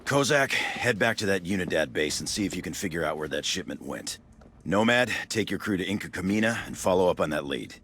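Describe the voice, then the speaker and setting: An actor playing a seasoned, wry special forces team leader in a game, steady, dry and commanding. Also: male voice, low voice, brisk, slight background noise. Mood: brisk, commanding.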